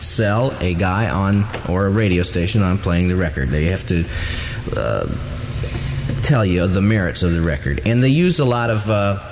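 A man's voice: low-pitched, animated, moderate pace, noisy background. A man hosting morning radio with loose, ad-libbed DJ chat.

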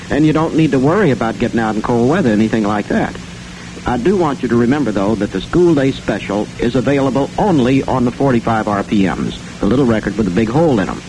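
An American man working as a radio DJ, pitching mail-order records in folksy, colloquial 1960s patter. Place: radio studio. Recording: noisy 1960 AM radio aircheck.

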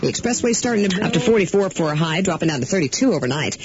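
Animated woman reading radio news and traffic. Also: on the low side, very fast, crowd noise. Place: radio studio. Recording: dull radio aircheck, faint noise.

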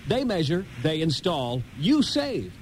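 A man delivering an upbeat scripted sales pitch as a commercial announcer. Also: big pitch swings, slow pace, slight background noise.

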